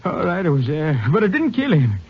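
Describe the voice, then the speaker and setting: An actor playing a scared, evasive male hobo suspect who stammers and pleads in rough, ungrammatical speech, in a 1950s radio drama. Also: high voice, wildly swooping pitch, a little fast, full character voice. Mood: pleading. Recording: dull old radio recording.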